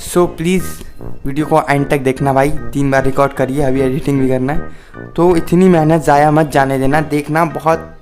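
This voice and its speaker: A man's voice: fairly high pitch, steady tone, normal speed, darkish tone, faint music behind. A man in his early twenties with an Indian accent, hosting a sarcastic, mock-earnest comedy monologue and switching into English for emphasis. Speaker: video host.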